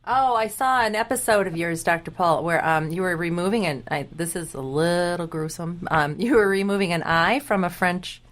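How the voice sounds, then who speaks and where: A woman's voice: lowish voice, moderate pace, few pauses. A woman co-hosting a radio interview, chatty and laughing often. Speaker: radio co-host.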